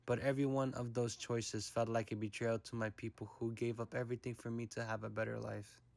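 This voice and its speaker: A young man from the United States giving a reflective, measured narration for a personal documentary. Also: mid-range voice, little pitch movement, creaky, darkish tone, dry recording.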